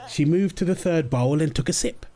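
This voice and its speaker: A man acting a gentle young father at his baby daughter's bedtime, soft, playful and coaxing in an intimate roleplay style. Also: a little high, moderate pace, lightly acted. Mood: storytelling. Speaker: audio roleplay performer. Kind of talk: intimate comfort roleplay, storybook reading.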